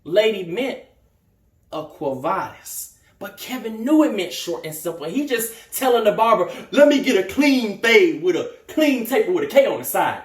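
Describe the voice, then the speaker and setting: A man's voice: high voice, very animated, moderate pace, distant mic. A man performing a dramatic stage monologue as a wounded, indignant young man, theatrical and emphatic. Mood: bitter recounting. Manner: theatrical, emphatic, swinging from outburst to hushed hurt. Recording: echoey room.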